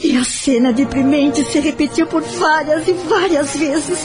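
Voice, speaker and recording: Sorrowful high-voiced Brazilian young woman. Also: a little slow, over music. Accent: Brazilian Portuguese. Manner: sorrowful, confiding. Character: Cecília, wounded young woman recounting past suffering. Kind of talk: audio novel monologue.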